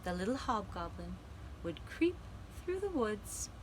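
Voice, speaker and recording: Female children's storyteller, gentle and deliberate, lilting over a wide range, with slight background noise. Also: mid-range voice, very animated, poor audio. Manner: slow, deliberate, dramatic phrasing with pauses. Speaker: storyteller and puppeteer.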